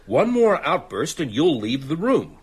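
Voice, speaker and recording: Stern stuffy dad in a radio play, husky voice, very formal, pitch goes all over when he lectures. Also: big pitch swings, unhurried, darkish tone, full character voice. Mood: stern, disbelieving. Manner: formal, pompous, interrogating. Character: stern skeptical father, pompous, rational, domineering. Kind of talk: radio drama acting.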